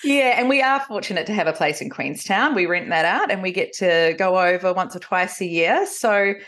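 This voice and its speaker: Kiwi woman in her forties, slightly low voice. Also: lively intonation, normal speed, fairly bright tone. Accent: New Zealand. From New Zealand. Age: 40s. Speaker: podcast guest, recovery coach. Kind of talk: conversational personal storytelling.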